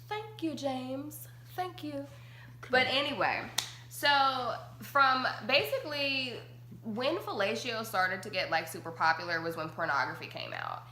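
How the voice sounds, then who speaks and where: Give me a bright young woman host chatting casually, roomy echo. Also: mid-range voice, slight background noise. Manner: chatty, laughing, says like constantly. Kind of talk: casual chat on a live-streamed talk show.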